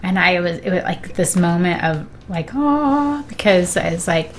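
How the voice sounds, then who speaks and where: Female stream guest, slightly low voice, relaxed sibling-style conversation, light noise behind her. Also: measured pace. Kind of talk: casual sibling chat.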